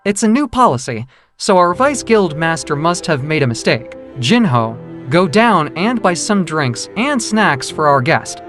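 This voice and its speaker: A man voices a composed, confident young male hunter for a manga dub. His delivery is measured and thoughtful, with animated intonation. The voice rings with long reverb over background music. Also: a little high. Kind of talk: dramatic manga dub narration.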